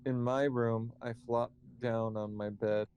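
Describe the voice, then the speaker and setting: A man reading visual novel narration aloud, halting at times and correcting his slips. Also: mid-range voice, little pitch movement, darkish tone, a little hiss or hum.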